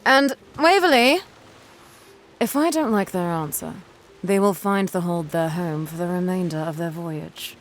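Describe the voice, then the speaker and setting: An actress playing a shrewd, commanding female ship's captain in an audio drama, speaking with measured authority. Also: fairly low pitch, lightly acted. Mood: stern.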